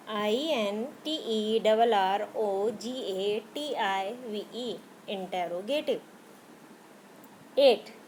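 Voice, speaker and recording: A woman teacher with an Indian English accent, slowly spelling out grammar words letter by letter for young pupils. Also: a little high, a little hiss or hum, poor audio. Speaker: school teacher.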